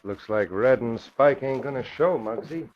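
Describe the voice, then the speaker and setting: A man's voice: medium pitch, animated, normal speed, close to an everyday voice. An actor playing a cocky, vengeful escaped convict with slangy wise-guy talk, in 1940s radio style. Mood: cocky, scheming. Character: tough, scheming male escaped convict gangster, vengeful and cocky. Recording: muffled old radio recording.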